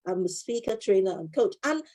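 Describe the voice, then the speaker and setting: A woman's voice: mid-range voice, animated, fairly quick, a little mellow. A woman in her fifties, a life coach and former solicitor, giving an earnest motivational talk and repeating her key phrases for emphasis.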